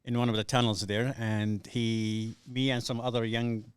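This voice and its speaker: A man's voice: mid-range voice, flat delivery, normal speed, clear, resonant tone, dry recording. A middle-aged man from Somalia, a podcast guest telling his life story in a hesitant, earnest way with many fillers. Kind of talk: casual podcast interview.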